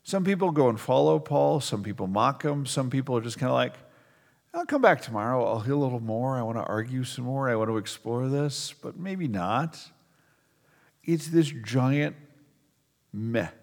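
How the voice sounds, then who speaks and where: A man's voice: mid-range voice, expressive, moderate pace, gravelly, long reverb tail. A middle-aged man preaching a sermon to a congregation in a conversational style, leaning hard on key words. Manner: conversational preaching with emphatic stresses. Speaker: pastor.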